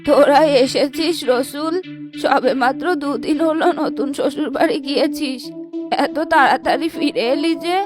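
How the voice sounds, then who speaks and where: A woman's voice: high voice, moderate pace, close to an everyday voice. A woman voicing a kindly, fussing old mother-in-law in a fairy tale cartoon. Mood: surprised welcome. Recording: music behind the voice.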